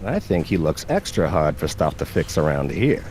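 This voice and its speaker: An actor playing a gruff, blunt backwoods factory worker with folksy talk in a video game. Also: male voice, extremely low pitch, big pitch swings, normal speed, darkish tone, slight background noise. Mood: dismissive. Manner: blunt, folksy rural grammar. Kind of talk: cartoonish video game dialogue.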